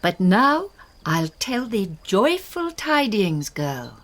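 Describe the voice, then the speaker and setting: A woman's voice: mid-range voice, sing-song, slow pace. An actress playing a stern, imperious aristocratic mother in a classical Shakespearean radio drama, theatrical and commanding. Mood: announcing.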